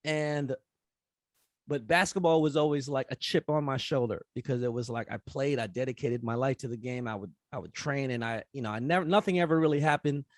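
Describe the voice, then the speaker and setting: A middle-aged man talking casually at a forum, relaxed and rambling with many fillers. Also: mid-range voice, a little fast, no echo, clean studio sound. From United States. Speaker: rapper and event host speaking at a forum. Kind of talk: casual conference talk after a rap performance.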